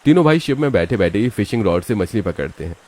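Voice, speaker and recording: Male narrator for animated family tales, low register, scripted storytelling delivery. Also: fast talker.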